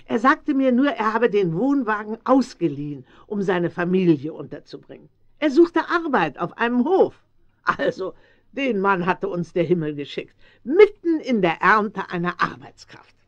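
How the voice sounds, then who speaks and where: Eine Schauspielerin in der Rolle einer rundlichen, mütterlichen, redseligen älteren Bauersfrau aus einem Kinderhörspiel. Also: female voice, medium pitch, sing-song, moderate pace, muffled recording, close to an everyday voice. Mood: chatty. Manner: garrulous, fussing, uses pet names. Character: stout, motherly, chatty older farmer's wife, kind-hearted. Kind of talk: German children's audio drama acting.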